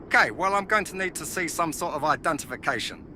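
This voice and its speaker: A stern policeman played by a man questions with authority in a somewhat high voice. It is horror drama acting, recorded with clear outdoor vehicle noise behind him. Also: lively intonation, fast talker, pushed and bright. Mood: stern, suspicious. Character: stern male police officer questioning drivers.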